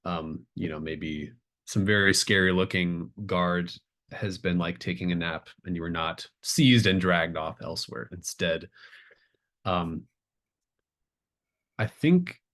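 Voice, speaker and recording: Low-voiced man running a tabletop game. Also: takes it slowly, a bit bright. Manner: hesitant, searching for words as he improvises. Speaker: game master. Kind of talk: improvised tabletop game narration.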